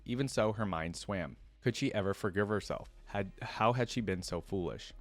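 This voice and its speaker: A man hosting a reaction video, chatting casually with spontaneous interjections and reading lore aloud. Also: on the low side. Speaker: host of a reaction channel.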